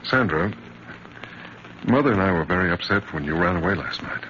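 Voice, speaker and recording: A soothing, devoted father in a vintage radio play. His voice is low and forward, earnest and reassuring, with the narrow crackle of an old broadcast. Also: animated, a little fast, a bit bright. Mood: gentle, coaxing. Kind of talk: 1950s radio drama acting. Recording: scratchy, band-limited vintage radio recording.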